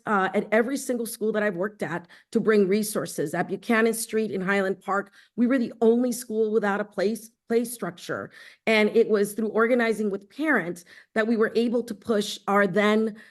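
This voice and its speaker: Middle-aged female candidate introducing herself in a campaign speech, average pitch. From born in El Salvador, raised in Los Angeles. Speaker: school board candidate, teacher and union leader.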